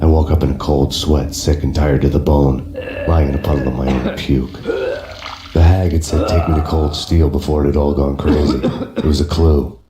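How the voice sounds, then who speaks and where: An actor playing a brooding, world-weary cop, narrating in flat, deadpan hardboiled noir style. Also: male voice, very deep voice, normal speed, heavy background noise. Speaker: narrator, game protagonist. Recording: roomy echo with loud music score.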